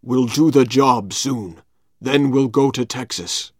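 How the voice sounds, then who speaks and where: Man doing a storybook villain: slow, cold, menacing. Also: mid-range voice, dry recording, studio quality. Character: menacing male villain Injun Joe, vengeful.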